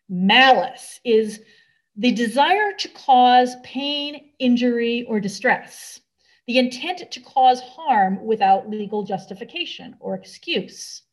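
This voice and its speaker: High-pitched American woman preaching. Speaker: preacher giving a sermon. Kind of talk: sermon to a congregation.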